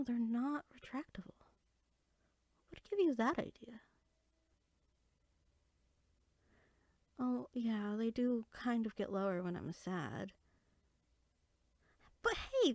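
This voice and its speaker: A woman playing a small, bookish, friendly fairy in a roleplay audio drama, speaking in a chatty, expressive, conversational way. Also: on the high side, no room sound, full character voice. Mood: amused, puzzled.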